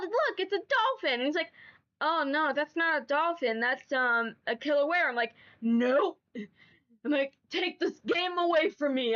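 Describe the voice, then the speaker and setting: A woman's voice: sits high, fairly bright tone, muffled recording. A woman streamer with a stuffy nose from allergies, chatting casually and rambling to her chat. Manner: rambling, chatty, repeats herself.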